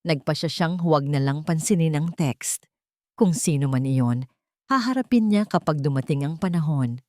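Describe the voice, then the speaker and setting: Woman storyteller, lowish pitch, solemn, measured, scripted love-story narration, very dry recording. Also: expressive, a little mellow, dry recording.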